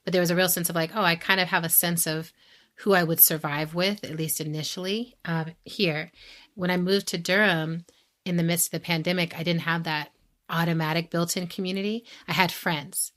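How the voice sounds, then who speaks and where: A woman's voice: fairly low pitch, steady tone, fairly quick, a bit bright. An American woman talking casually and reflectively as a podcast co-host, giving advice and often voicing imagined quotes.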